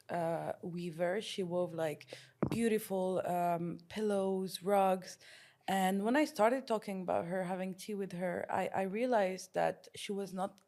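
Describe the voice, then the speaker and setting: A woman's voice: lowish voice, gravelly, warm tone. A woman founder answering interview questions in a casual, thoughtful way, with frequent fillers and restarts. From France. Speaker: startup founder.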